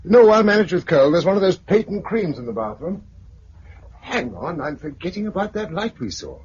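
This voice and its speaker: An actor playing a pragmatic, level-headed male survivor and narrator in a 1950s radio drama, with a British accent and a measured, clipped delivery. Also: sits high, sing-song. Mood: alert, brisk. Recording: muffled, noisy vintage radio recording.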